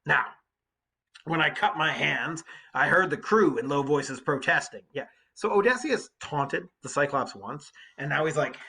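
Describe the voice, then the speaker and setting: A man teaches by reading aloud and adding informal commentary. His voice is a bit high for a man, bright and creaky. He explains things in an emphatic, colloquial manner. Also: expressive, a little slow.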